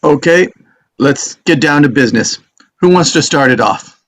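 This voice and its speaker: A businesslike jury foreman read by a man, slightly high in pitch. He is brisk and organizing, in an amateur screenplay table read. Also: lively intonation, a bit bright.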